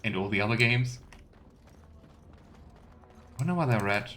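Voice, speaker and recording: A man's voice: on the low side, expressive, unhurried, a bit bright. A man giving casual, musing let's play commentary as a game streamer, with playful asides to his viewers. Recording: faint noise with keyboard clicks.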